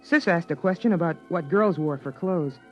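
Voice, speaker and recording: A man's voice: a little high, moderate pace, lightly acted. A man playing a young son who narrates his family's life, in earnest, wondering 1950s radio drama style. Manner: earnest, wide-eyed first-person narration. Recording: dull 1950s radio broadcast with music behind.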